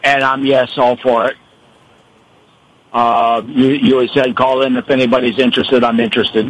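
A man from Rochester, New York, calling in to a radio show; he has a gravelly voice and talks haltingly with many restarts. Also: mid-range voice, level tone, fairly bright tone, rough recording. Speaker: caller. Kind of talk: radio call-in.

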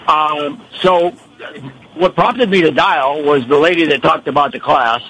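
A man from Rochester, New York, calling in to a radio show; he has a gravelly voice and talks haltingly with many restarts. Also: on the high side, a bit bright, slight background noise, low-quality recording. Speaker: caller. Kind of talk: radio call-in.

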